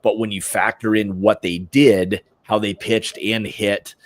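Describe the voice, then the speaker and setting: A man's voice: lowish voice, flat delivery, measured pace, dry recording. An American man hosting a casual sports prediction livestream, talking informally in slangy, opinionated fashion.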